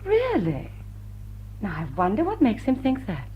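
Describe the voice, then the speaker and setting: A woman's voice: a little high, wildly swooping pitch, a little slow, breathy edge, heavily acted. An actress playing a witty lady thief in love in a radio play, with an upper-class English accent and an arch, teasing, poised manner. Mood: tender. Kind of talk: British radio play acting. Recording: dull old radio broadcast recording.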